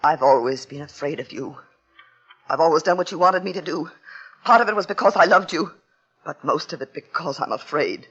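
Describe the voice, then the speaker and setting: An actress playing a frightened, guilt-haunted young wife in a 1940s radio thriller, pleading anxiously and often repeating herself. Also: female voice, low-pitched, expressive, brisk, a bit bright, breathy edge, heavily acted. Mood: hurt, fearful. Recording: dull old radio recording with music.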